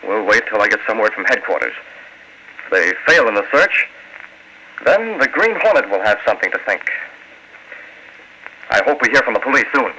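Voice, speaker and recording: An actor playing a composed, authoritative newspaper boss in 1940s radio drama style. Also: male voice, fairly low pitch, very animated, normal speed, pauses often, pushed and bright, exaggerated voice. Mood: grave. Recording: noisy old radio recording.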